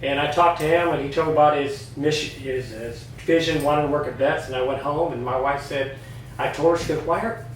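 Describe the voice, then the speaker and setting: A man's voice: a little high, a little fast, a little hiss or hum. A middle-aged man, a retired Army veteran, telling his story in an earnest, candid way as a podcast guest. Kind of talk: casual personal story. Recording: echoey room, mic at a distance.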